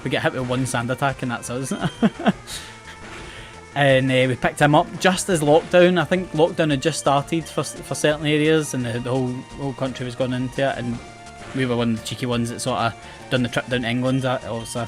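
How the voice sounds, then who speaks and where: A man's voice: medium pitch, fairly even intonation, a little fast. Chatty west coast Scottish man. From Scotland. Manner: chatty, rambling, self-mocking, often restarts words and laughs. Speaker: gaming channel host. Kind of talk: casual game commentary. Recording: game music playing faintly behind.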